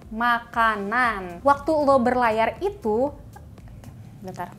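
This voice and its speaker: Young Jakarta woman, high-pitched chatty vlogger. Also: a bit bright. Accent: Jakarta Indonesian. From Indonesia. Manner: chatty, slangy, conversational. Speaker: vlogger and cruise ship crew member. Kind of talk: casual tips vlog addressed to viewers.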